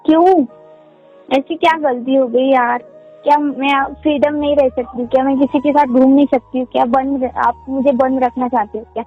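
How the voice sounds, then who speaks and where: A woman's voice: high voice, fairly even intonation, normal speed. A young woman playing a defensive, aggrieved girlfriend in a casual, insistent phone-call argument. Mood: indignant, pleading. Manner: insistent, questioning. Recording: muffled lo-fi call sound under music.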